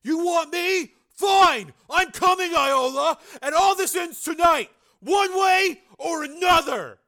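A man voicing a frightened, desperate young man in an audiobook, speaking slowly and strained. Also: fairly high pitch, lively intonation, pitched above the performer's own voice. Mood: shouting. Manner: muttering, slow and strained.

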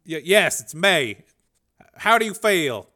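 A man's voice: mid-range voice, brisk, heavy background noise, lightly acted. Man doing a stammering, frantic scientist. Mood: nervous. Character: anxious young male scientist, stammering and lying.

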